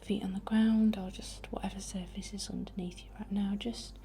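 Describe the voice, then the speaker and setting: A young woman whispering breathily and gently as she guides an ASMR meditation in an informal, unhurried way. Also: mid-range voice, flat delivery, soft background music. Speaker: ASMR host guiding a healing session.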